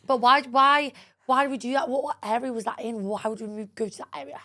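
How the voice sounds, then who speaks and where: A woman, co-hosting a podcast in casual chat, hoarse from a partly lost voice and loud, jokey and excitable. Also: fairly high pitch, quick pace. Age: 20s. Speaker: podcast co-host.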